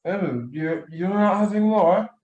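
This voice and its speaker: A man acting a tipsy, jovial, foolish nobleman in a radio play, slurred and halting with giggly rambling. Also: high-pitched, expressive, darkish tone, dull audio. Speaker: student actor in a radio play. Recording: echoey room recording.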